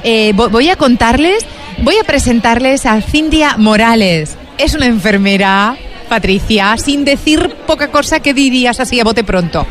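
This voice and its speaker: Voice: mid-range voice, animated, normal speed, a bit bright, soft background music. Effusive host of a live interview show. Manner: effusive, exclamatory. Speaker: radio host.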